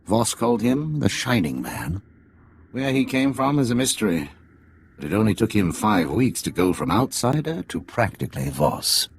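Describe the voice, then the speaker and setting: A jaded spy in a game, deep and gravelly, really swingy intonation, sardonic. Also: male voice, sing-song, normal speed, a little hiss or hum. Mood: wry briefing. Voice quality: gravelly, husky. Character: jaded male Imperial spy posing as alien tea house owner, middle-aged father. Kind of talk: cinematic video game dialogue.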